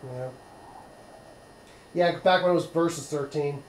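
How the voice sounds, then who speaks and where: A man's voice: fairly high pitch, a little slow, roomy sound, a little hiss or hum, low-quality recording. A man hosting a let's play, explaining the game in a matter-of-fact, knowledgeable way. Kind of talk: casual game commentary. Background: game music.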